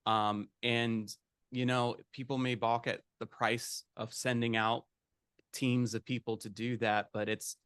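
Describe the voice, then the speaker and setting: A man's voice: medium pitch, flat delivery, a little slow, fairly bright tone, clear, resonant tone, no room sound, clean studio sound. A man moderating a webinar panel, talking in a friendly, conversational way over a video call. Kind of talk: casual panel talk.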